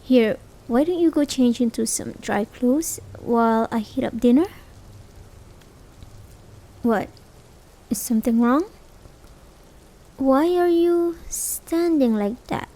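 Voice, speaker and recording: A woman's voice: high-pitched, slow, pauses often, mellow, heavily acted. A woman acting a caring, worried, hesitant partner in a realistic slice-of-life ASMR roleplay. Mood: worried, concerned, then puzzled, anxious. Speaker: amateur voice actor. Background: rain sound effects.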